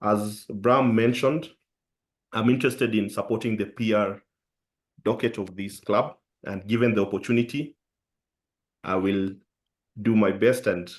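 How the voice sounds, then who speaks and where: Man, guest at an online meeting, formal and polite, lowish voice. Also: steady tone, moderate pace, pauses often. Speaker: guest joining a club meeting. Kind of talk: short speech of thanks. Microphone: video call.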